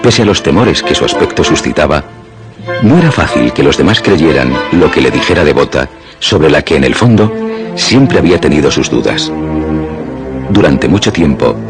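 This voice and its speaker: A man's voice: a bass voice, expressive, moderate pace. A man narrating a radio drama from a script in a dramatic style. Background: music.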